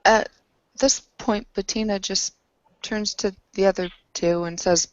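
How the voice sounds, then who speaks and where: A woman's voice: mid-range voice, steady tone, unhurried, no room sound, dull audio. A woman talking casually as a player in a tabletop roleplaying game.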